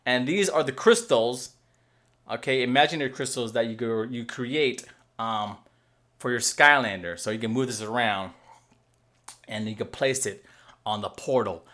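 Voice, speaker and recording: A man in his thirties recording at a desk in a room at home, explaining a new game to viewers in a casual, animated way with many fillers and restarts. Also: medium pitch, moderate pace, pauses often. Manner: animated, lots of fillers and restarts, checks in with "okay?"; waves his hands while talking. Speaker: gaming video host.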